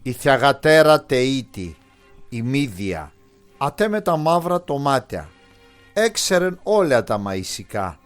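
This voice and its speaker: A man with a Pontic Greek accent narrating an animated myth in a scripted storybook style. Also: medium pitch. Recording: music under the voice. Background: background music.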